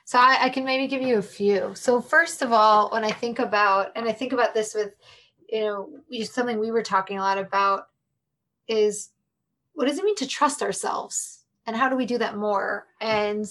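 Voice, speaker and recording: Reflective higher-voiced woman guest. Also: normal speed, fairly bright tone, a little hiss or hum. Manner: reflective, laughs often, speaks to listeners directly. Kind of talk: conversational podcast interview.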